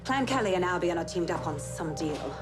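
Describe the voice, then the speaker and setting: Actress as a low-voiced, determined woman police inspector. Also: animated, brisk, natural voice. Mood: grim, determined. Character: determined female police inspector recording a secret log. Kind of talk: video game cutscene monologue. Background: music.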